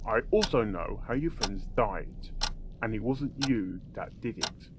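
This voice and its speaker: Man voicing earnest low-voiced stallion detective-doctor, noisy recording. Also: animated, measured pace. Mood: firm, accusing. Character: earnest, probing stallion doctor investigating a dark case. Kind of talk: dramatic fan fiction character reading.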